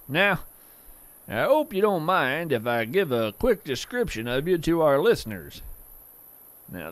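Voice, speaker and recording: A man playing a genial, whimsical naturalist who hosts a fiction podcast, narrating in a gentle, earnest, literary manner. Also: fairly high pitch, no echo, exaggerated voice. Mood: friendly, hospitable. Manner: measured, gentle, earnest delivery with florid wording. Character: genial, whimsical male naturalist hosting strange creatures by campfire.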